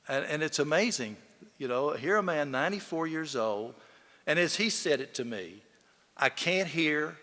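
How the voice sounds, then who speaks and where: A man's voice: on the high side, bright, forceful tone. A man giving a eulogy, telling folksy anecdotes to an audience in an emphatic storytelling manner. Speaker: eulogy speaker, fellow lawyer. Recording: echoey hall with long reverb.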